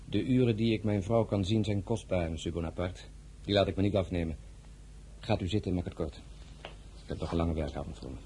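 A man's voice: low voice, brisk, mellow, a little hiss or hum, natural voice. A male actor in a radio drama playing a proud, blunt French general and minister, tender as a husband, with an authoritative, formal delivery. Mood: stern, impatient.

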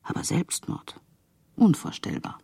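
An actor reading out a letter as a frank, sceptical writer, in a gravelly, husky voice. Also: male voice, measured pace, warm tone, no echo, lightly acted. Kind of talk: radio drama letter reading.

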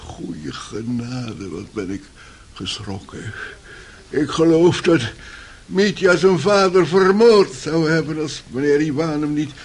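A man playing a frail, shaken old servant in a radio play, loyal and bewildered, stammering and halting. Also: on the high side, animated, creaky, a little hiss or hum, heavily acted. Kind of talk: Dutch radio play acting.

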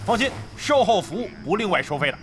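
A man's voice: sits high, very animated, fast, a bit bright, faint music behind. An actor playing a brash, crafty middle-aged veteran gamer in a Chinese audio drama, cocky and slangy, grumbling and swearing as he banters. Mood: cocky, teasing.

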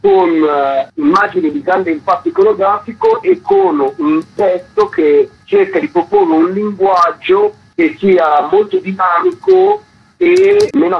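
A man with a raspy, creaky voice explaining his work as a curator being interviewed. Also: sits high. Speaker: photography exhibition curator interviewed as guest. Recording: dull, lo-fi line.

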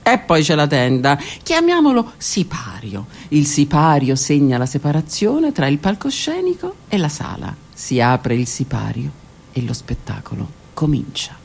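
A woman's voice: sits low, huge pitch swings, measured pace, creaky, darkish tone, breathy edge. A woman performing an introspective theatrical monologue for radio, delivered dramatically and at times dropping to a whisper. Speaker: stage performer. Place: stage or studio performance.

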